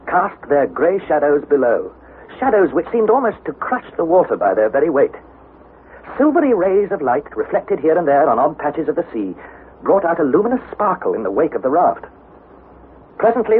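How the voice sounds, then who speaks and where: An actor playing an earnest young nephew, narrating an expedition with measured, dramatic storytelling in a 1960s radio drama style. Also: male voice, fairly high pitch. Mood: solemn narration, then awed. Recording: narrow-band, slightly noisy vintage radio recording.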